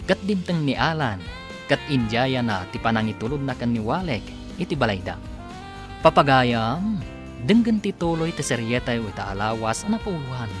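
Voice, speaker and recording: A man's voice: medium pitch, big pitch swings, normal speed. A man narrating an Ilocano radio drama as its announcer, reading from a script. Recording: music behind the narration.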